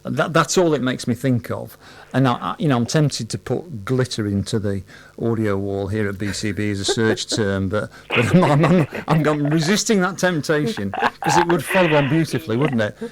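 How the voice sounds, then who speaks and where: Jokey older male radio host, medium pitch. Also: animated, normal speed. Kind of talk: casual radio interview chat.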